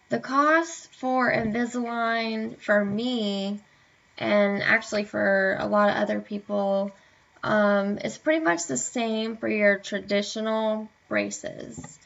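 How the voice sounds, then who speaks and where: Young woman vlogger, slow unhurried delivery, a bit hoarse, lots of ums, dull lo-fi home recording. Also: on the high side, fairly bright tone. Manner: hesitant, many fillers. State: slightly hoarse, tired. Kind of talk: casual chat to camera. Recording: dull lo-fi webcam audio.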